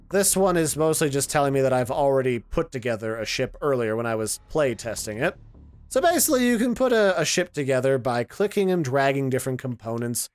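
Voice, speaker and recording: A man's voice: mid-range voice, a little mellow, smooth, no room sound. A man giving casual, chatty and opinionated commentary as the host of a game preview. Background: music.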